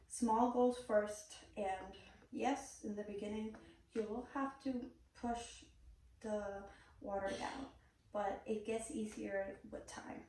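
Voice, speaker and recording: A woman's voice: medium pitch, warm tone, slight background noise. A woman from Puerto Rico with a Puerto Rican Spanish accent, a native Spanish speaker, giving a prepared persuasive speech in an earnest, direct way with a few "um"s. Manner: earnest, direct, occasional "um" fillers. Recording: very echoey room, mic far off.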